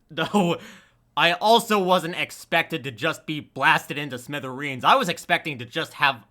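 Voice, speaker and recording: A man's voice: on the high side, unhurried, sharp tone. A man chatting casually about his creative work, rambling and often restarting his sentences. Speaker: audio drama voice actor and editor. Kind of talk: casual chat.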